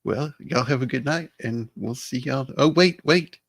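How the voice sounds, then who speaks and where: A man's voice: mid-range voice, vocal fry, dry recording. A man in his late thirties hosting a livestream, talking easygoing and laid back. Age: 30s. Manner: slow, easygoing, signs off with y'all. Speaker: host. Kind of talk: casual livestream chat.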